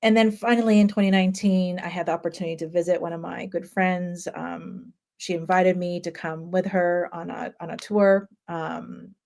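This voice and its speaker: Low gravelly-voiced woman host. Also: normal speed, few pauses. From Japan. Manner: relaxed, frequent ums, laughs easily. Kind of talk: casual conversational chat.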